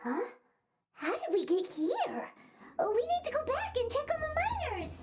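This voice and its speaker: A woman playing a tiny, excitable fairy-like companion in a video game, with a squeaky, childlike, bubbly voice. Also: moderate pace, exaggerated voice. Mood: startled. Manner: bubbly, animated. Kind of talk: video game character acting. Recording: loud background noise.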